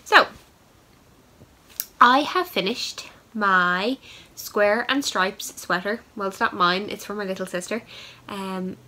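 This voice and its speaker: A woman's voice: medium pitch, takes it slowly, sharp tone. A young woman hosts a knitting podcast in a casual, chatty way, full of ums and upbeat asides, and she has a head cold. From Ireland. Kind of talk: casual show-and-tell chat.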